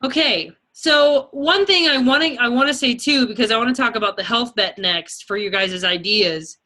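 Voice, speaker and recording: A woman's voice: a little high, normal speed, bright, forceful tone. A woman leading a team as a fitness sales coach, talking casually and chattily in an informal motivational style. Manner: informal, chatty, peppered with okay and you know.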